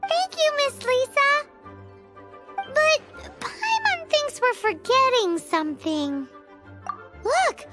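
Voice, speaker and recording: A woman voicing a tiny childlike fairy companion in a video game, chirpy, cheeky and quick to exclaim. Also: very high voice, heavily acted. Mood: curious. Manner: childlike, third-person self reference, exclaiming. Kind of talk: video game character acting. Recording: clear music behind the voice.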